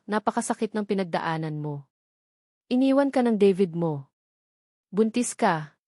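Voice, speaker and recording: A woman's voice: medium pitch, darkish tone, dry recording, studio quality, natural voice. A woman voicing a remorseful, protective older mother in a narrated drama, tender and apologetic as she explains. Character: remorseful, protective elderly mother consoling her daughter.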